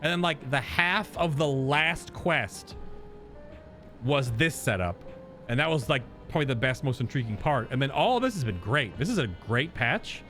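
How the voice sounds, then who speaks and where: A man's voice: mid-range voice, expressive, normal speed, a bit bright, faint music behind. A man giving casual, informal reaction commentary as a game streamer, joking and swearing freely.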